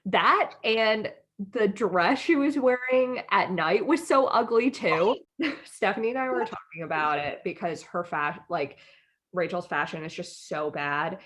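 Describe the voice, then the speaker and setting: A woman's voice: medium pitch, unhurried, fairly bright tone. An American woman co-hosting a podcast, chatting casually in informal, slangy phrasing full of "like". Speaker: podcast co-host. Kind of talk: casual chat.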